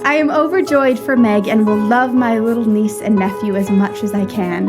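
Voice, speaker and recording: A woman acts out a refined young lady of a period audio drama. Her voice sits fairly high and she speaks with animated, formal politeness, frank and affectionate. Some music plays behind her. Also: moderate pace, close to an everyday voice. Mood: fond, concerned. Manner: animated, genteel, formal phrasing.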